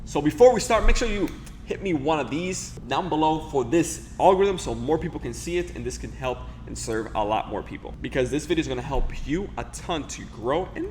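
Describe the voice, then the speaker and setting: A man's voice: mid-range voice, a little hiss or hum. A man in his twenties from the Dominican Republic, a native Spanish speaker, talking casually with lots of fillers and restarts. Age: 20s. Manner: fast, filler-heavy talk with many restarts. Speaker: host. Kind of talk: casual interview and tutorial talk.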